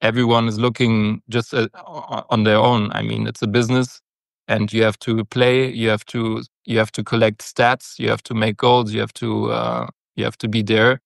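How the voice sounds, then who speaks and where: A man's voice: on the low side, flat delivery, normal speed, creaky, no room sound, clean studio sound. A young man from Germany, a football captain, talking casually in an interview with many hesitations. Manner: hesitant, many fillers and restarts.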